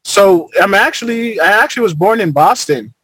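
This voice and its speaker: A man's voice: high-pitched, expressive, normal speed, a bit bright, husky, no echo, poor audio. A man from Arizona, a former football player, talking casually as a podcast guest, rambling with lots of fillers and restarts. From Arizona, United States.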